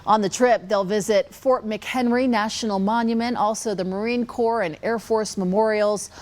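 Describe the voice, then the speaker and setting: A woman's voice: medium pitch, steady tone, normal speed, a little mellow. A woman with an American accent reading scripted television news as an anchor.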